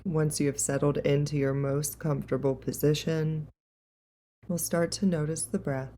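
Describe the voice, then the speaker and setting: A woman leading a guided meditation in a calm, gentle, unhurried and soothing way. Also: low voice, a little hiss or hum.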